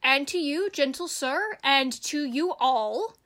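A woman's voice: high-pitched, expressive, takes it slowly, a bit bright, heavily acted. A woman playing a humble, kindly shepherd in a Shakespeare radio play, speaking earnestly and deferentially. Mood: courteous. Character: humble kindly old country shepherd, a man.

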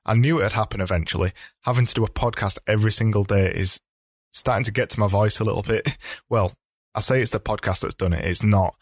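A man with a slightly low voice hosts a solo advice podcast, walking listeners through points in a chatty, explanatory way. His voice is a little hoarse from a coming cold. The sound is dry and close, with no room behind him. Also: quick pace, no room sound. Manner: chatty, explanatory, with frequent restarts. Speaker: podcast host.

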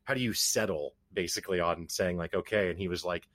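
A man with a low voice hosts a casual interview, recorded dry with no room sound. He talks loosely, repeating words as he restarts his thoughts. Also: brisk, dry recording. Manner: relaxed, stammering restarts, friendly asides. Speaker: podcast host.

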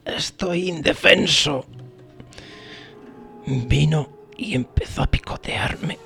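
A man's voice: on the high side, slow, gravelly, pushed and bright, faint music behind, exaggerated voice. A man playing a tormented, helpless man in an audio story, theatrical and pleading. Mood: weary, suffering.

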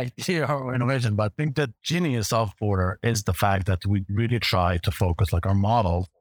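A man's voice: on the low side, dry recording. A man with a strong Israeli accent, a native Hebrew speaker, explaining fraud detection as a guest in a podcast interview, walking through vivid everyday examples.

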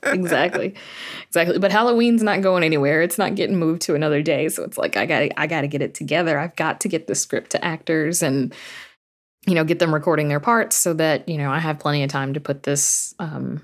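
A woman's voice: low voice, fairly quick. A woman speaking as an interview guest, explaining her thoughts casually and peppering them with "you know.". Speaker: podcast creator and narrator, interview guest.

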